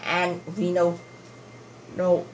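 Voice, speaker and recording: A woman giving casual gameplay commentary, thinking aloud in a halting, repetitive way. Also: lowish voice, monotone, slight background noise, rough recording. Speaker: gamer, video creator. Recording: game music loud behind voice.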